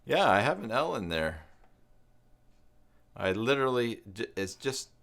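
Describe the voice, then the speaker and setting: A man with a Canadian accent hosting a casual live tutorial, thinking aloud in a halting, musing way. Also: mid-range voice, big pitch swings, creaky, fairly bright tone. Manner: halting, musing, reads aloud and mutters to himself. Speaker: live stream host, web tutorial presenter.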